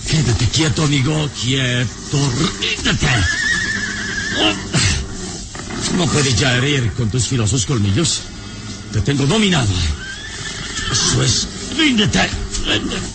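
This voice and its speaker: An actor playing a fearless, self-assured adventurer hero in a radio serial, speaking with measured, firm authority. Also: male voice, mid-range voice, very animated, creaky, heavy background noise. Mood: firm, commanding. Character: fearless, calm male adventurer hero, commanding and sure of himself. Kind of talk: Spanish-language radio serial acting. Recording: old radio serial, music underneath.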